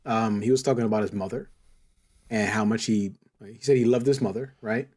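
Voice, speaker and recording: A man hosting a casual, joking podcast chat, who talks haltingly, repeats his words and chuckles as he goes. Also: fairly low pitch, fairly even intonation, darkish tone. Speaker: podcast host. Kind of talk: casual comedic panel chat.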